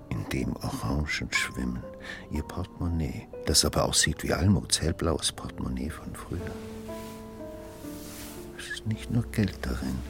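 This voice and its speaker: An evasive, brooding man in a radio drama. His voice is extremely low and gravelly and unhurried, his delivery measured, with music underneath. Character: Starnke, evasive male architect, brooding over his dreams. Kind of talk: German radio drama acting.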